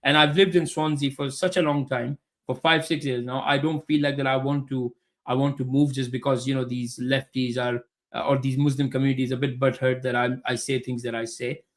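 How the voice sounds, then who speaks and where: Man, medium pitch, from Pakistan, podcast guest, conversational personal account, halting and repetitive. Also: little pitch movement, a bit bright.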